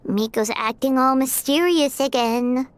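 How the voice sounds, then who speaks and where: A woman voicing a small, excitable, childlike fairy companion with a squeaky voice in a video game. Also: measured pace, slight background noise. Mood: puzzled, curious. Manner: speaks of self in third person, exclaims.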